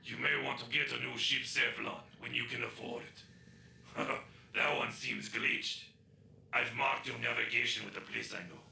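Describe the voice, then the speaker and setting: An actor voicing a brash, wisecracking trader in a video game, cocky and teasing, over a radio-style transmission. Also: male voice, medium pitch, fairly even intonation, unhurried, gravelly, pushed and bright, echoey room, slight background noise, exaggerated voice. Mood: smug, helpful. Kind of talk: scripted video game acting.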